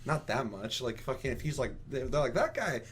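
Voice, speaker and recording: A man's voice: mid-range voice, sing-song, fairly quick, breathy edge. A man talking casually and bluntly about games as a podcast host, swearing freely. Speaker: video game podcast host.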